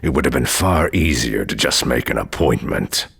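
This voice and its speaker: An actor voicing a huge, smug, calculating crime boss in a video game, gravelly and condescending. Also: male voice, very deep voice, animated, background noise, heavily acted. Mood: smug menace. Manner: slow, deliberate, grandly condescending. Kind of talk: video game cutscene acting. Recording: music behind the voice.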